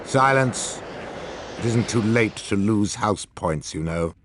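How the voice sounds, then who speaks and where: Menacing teacher, lowish, slow and cold, faint noise behind. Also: male voice, animated. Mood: threatening. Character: stern, menacing schoolmaster. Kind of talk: video game voice acting.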